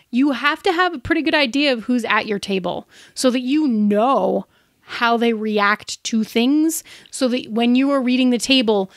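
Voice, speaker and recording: A woman with a slightly high voice chats as a podcast co-host. She explains her points conversationally, recorded close and very dry. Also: dry recording. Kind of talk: casual explanatory chat about tabletop gaming.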